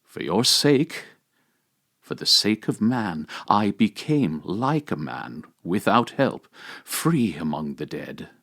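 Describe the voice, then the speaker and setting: A majestic male divine figure, acted by a man reading aloud, commanding and tender in a sacred dramatic reading. The voice is lowish and very slow. It declaims with solemn emphasis, rising and falling widely. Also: big pitch swings, a bit bright, heavily acted. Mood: grave, tender. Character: Christ risen among the dead, majestic, commanding, tender.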